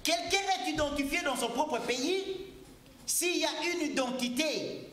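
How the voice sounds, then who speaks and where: High-pitched insistent man. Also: a little slow, fairly bright tone, echoey room, slight background noise. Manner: insistent, interrupts and repeats points. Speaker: debate guest. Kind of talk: heated political debate.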